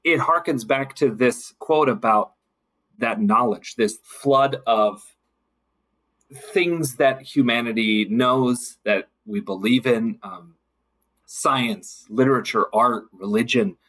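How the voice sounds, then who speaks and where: Guy on a podcast, bit of a husky voice, thoughtful, stops and starts, leans on key words. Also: medium pitch, slow, fairly bright tone. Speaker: guest, city council member. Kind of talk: conversational podcast discussion.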